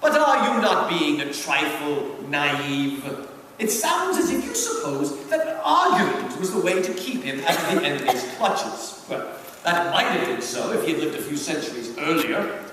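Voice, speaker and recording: A man's voice: fairly high pitch, big pitch swings, a bit bright. A man playing a suave devil, very echoey hall. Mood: condescending. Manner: theatrical, patronizing, drawn-out phrasing. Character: suave condescending senior devil advising his young nephew. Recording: very echoey hall from far off, faint noise.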